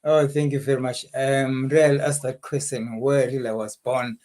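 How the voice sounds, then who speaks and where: A man's voice: mid-range voice, level tone, moderate pace, a little mellow. A man from Zimbabwe with a Zimbabwean accent, a guest answering interview questions reflectively, with some repeated words.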